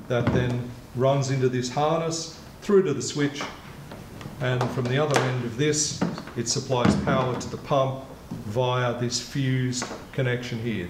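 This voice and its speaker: A man in his sixties giving a measured, methodical product demonstration as a kayak retailer, speaking in an indoor shop. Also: mid-range voice. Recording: echoey room with clear background noise.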